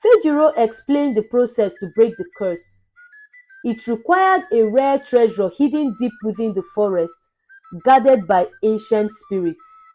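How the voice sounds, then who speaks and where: A woman narrating a scripted folktale in a dramatic, deliberate storytelling manner. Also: a little high, expressive, mellow, low-quality recording. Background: background music.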